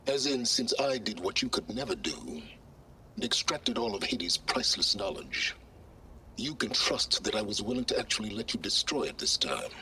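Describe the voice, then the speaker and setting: A man playing an arrogant, self-important scholar in a video game, with pompous, condescending and deliberate phrasing. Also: fairly low pitch, animated, bright, forceful tone, a little hiss or hum, exaggerated voice, rough recording. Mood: smug. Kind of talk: video game cutscene acting.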